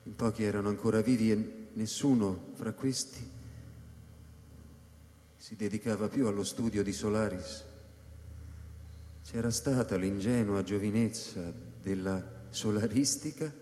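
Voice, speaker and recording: An actor playing a reflective male scientist who narrates a science-fiction radio drama in measured, deliberate phrases. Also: fairly low pitch, dark tone, slight background noise, close to an everyday voice. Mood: reflective, subdued. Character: reflective male scientist recounting life on space station. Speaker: actor narrating as the story's protagonist. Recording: echoey hall reverb under live electronic music.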